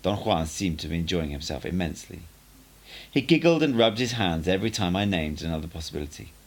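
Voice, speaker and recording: A man narrating an audiobook in an expressive, measured storytelling manner. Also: deep voice. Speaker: audiobook reader.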